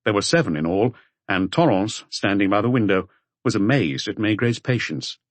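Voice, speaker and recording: A man's voice: fairly low pitch, expressive, normal speed, no echo. A man reading scripted crime fiction as an audiobook narrator.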